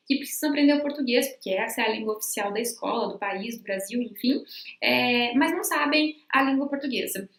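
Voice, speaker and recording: A woman's voice: a little high, reverberant. A Brazilian woman professor giving a remote lecture, explaining step by step with frequent fillers and restarts. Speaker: university professor.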